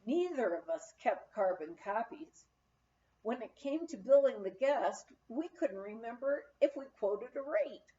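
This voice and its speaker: A woman reading an anecdotal memoir aloud as an expressive storyteller. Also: on the high side, a little slow, muffled recording. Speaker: audiobook reader.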